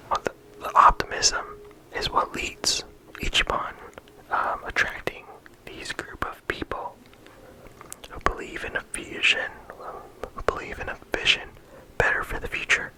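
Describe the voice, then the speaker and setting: An ASMR creator whispering softly throughout, talking casually with frequent ums and likes into a close microphone. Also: rough recording. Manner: soft unvoiced whisper throughout. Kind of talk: whispered ASMR game discussion.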